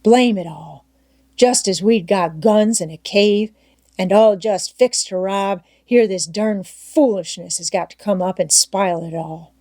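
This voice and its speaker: Storybook role: rough young vagabond boy, played by a woman, grumbling rustic dialect, stubborn, dry close sound. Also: medium pitch, unhurried, mellow, no echo. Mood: grumbling, weary. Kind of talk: storybook character voice in audiobook reading.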